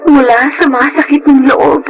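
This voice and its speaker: A woman's voice: high-pitched, steady tone, normal speed, faint music behind, close to an everyday voice, low-quality recording. A woman playing a hurt, outspoken young adult daughter, earnest and emotional in a Filipino radio drama. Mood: relieved, emotional.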